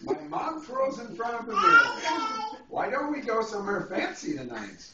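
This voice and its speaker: A man's voice: very high-pitched, wildly swooping pitch, normal speed, recorded from a distance. A man reading a picture book to a small child, playfully drawing out words and adding asides. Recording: echoey, noisy, muffled home video from afar.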